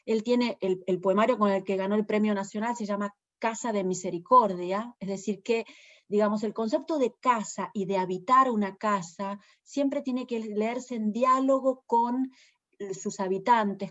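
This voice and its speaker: A woman with an Argentine accent, a poet giving a thoughtful literary talk, pausing often with fillers as she searches for words. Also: mid-range voice, highs cut off. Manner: thoughtful, hesitant, many fillers. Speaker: poet and presenter.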